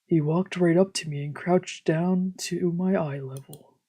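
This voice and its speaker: A woman streamer with a breathy voice reading visual novel text aloud as dramatic narration. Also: lowish voice, fairly even intonation, unhurried, dark tone. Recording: game music behind the voice. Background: game music.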